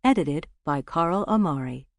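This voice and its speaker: A woman's voice: sits low, lively intonation, measured pace, no room sound, studio quality. A woman reading a scripted audiobook title announcement.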